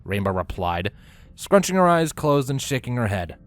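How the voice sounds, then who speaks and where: A man's voice: mid-range voice, very animated, moderate pace, faint music behind. A man giving scripted narration of a fan fiction story as the narrator.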